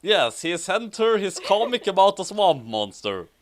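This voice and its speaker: A woman's voice: mid-range voice, sing-song, unhurried. A woman co-hosting a comic fan fiction reading, switching between casual chat and theatrical, exaggerated delivery.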